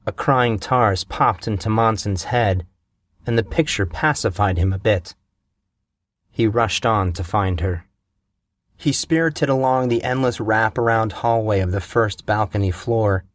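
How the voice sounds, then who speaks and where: A man narrating a fantasy novel in a measured, steady storytelling manner, recorded on a close mic. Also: fairly low pitch, no room sound.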